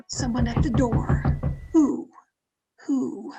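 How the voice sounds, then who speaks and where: A woman's voice: fairly high pitch, very slow. A woman playing an anxious, guarded mystery novelist in an old-time radio drama, with a formal, theatrical delivery. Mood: tense, uneasy. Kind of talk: old-time radio drama acting, first-person suspense narration. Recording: remote video-call recording with music behind.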